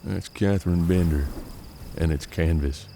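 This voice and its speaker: An actor playing a seasoned, gruff frontier detective in a western audio drama, measured and world-weary, with a gravelly voice. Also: male voice, low-pitched, warm tone, a little hiss or hum. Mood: grave, watchful.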